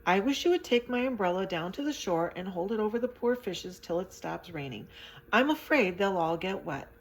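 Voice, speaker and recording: Woman doing little man, gloomy, complaining, wailing, loud, storybook. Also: mid-range voice, animated, normal speed, close to an everyday voice, rough recording.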